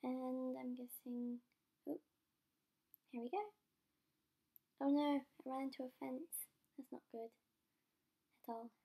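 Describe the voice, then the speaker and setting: Young woman w a cold, croaky and husky, high voice, chatty self-mocking gameplay talk into a desk mic. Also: little pitch movement, slow, pauses often, warm tone. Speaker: gaming channel host. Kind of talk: casual game commentary.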